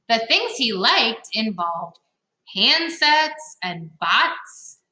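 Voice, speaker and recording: A woman's voice: medium pitch, sharp tone. A woman reading a children's story aloud in a dramatic, playful way, with chatty asides to her listeners. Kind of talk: expressive picture-book reading with chatty asides. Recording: roomy, echoing sound.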